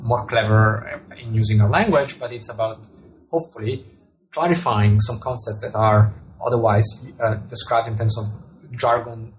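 A man's voice: fairly low pitch, darkish tone, low-quality recording. Italian man, hesitant, over background noise. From Italy. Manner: hesitant, repeats words while searching for phrasing. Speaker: author and astronomer being interviewed. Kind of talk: thoughtful interview answers.

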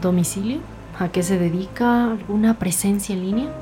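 Senior female detective acted by a woman, podcast drama; crisp authority, probing questions, medium register. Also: mid-range voice, moderate pace, music in the background, natural voice. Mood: authoritative. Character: commanding, probing woman lead detective and boss. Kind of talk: scripted audio drama dialogue.

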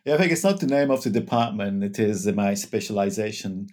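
A man from Turkey, an academic historian, explaining his work in a measured, scholarly way. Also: fairly low pitch, expressive, a little mellow. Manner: measured, scholarly, some fillers. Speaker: author and historian. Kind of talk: academic interview.

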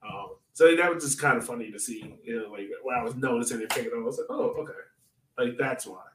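A man's voice: mid-range voice, quick pace, background noise. A man's voice sounds roomy and set back from the microphone, with plenty of echo. He talks like a podcast co-host in casual sports chat, telling stories in loose, slangy speech. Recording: roomy echo, distant from mic.

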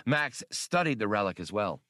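Male fiction narrator: animated intonation, close dry sound, scripted audiobook storytelling. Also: fairly low pitch, normal speed, no echo.

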